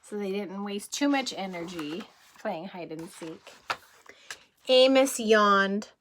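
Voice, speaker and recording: A woman reading a picture book aloud as a kindergarten teacher, gently and expressively, with questions to the listeners. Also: mid-range voice, expressive, takes it slowly, noisy background.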